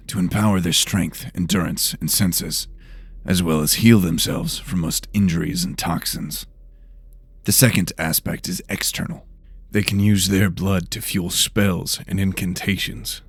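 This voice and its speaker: A man's voice: sits low, gravelly, breathy edge, dry recording, full character voice. A man playing a brusque investigator of magical murders in a fantasy audio drama, blunt and demanding.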